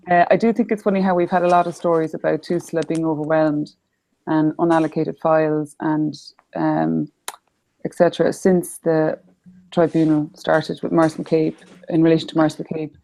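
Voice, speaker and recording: A woman's voice: low voice, warm tone, rough recording. An Irish woman on a chat panel, hesitant, with many ums as she talks. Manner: hesitant, lots of ums while finding a story. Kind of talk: casual panel discussion.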